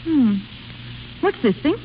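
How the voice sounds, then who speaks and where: Through a thin, scratchy old radio recording comes a proud, imperious grand lady. Her voice sits fairly high and swoops dramatically as she commands and questions sharply, in vintage radio drama style. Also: very animated, takes it slowly, narrow, tinny audio, heavily acted. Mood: probing, arch. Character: haughty, imperious exiled noblewoman, proud and easily offended. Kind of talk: 1930s radio drama acting.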